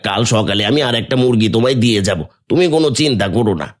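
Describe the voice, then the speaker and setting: A man voicing Nimai, a poor and kind village man in a Bengali cartoon, who talks earnestly and thinks out loud. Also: medium pitch, racing, natural voice. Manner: earnest, thoughtful, speaking his thoughts aloud. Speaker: voice actor.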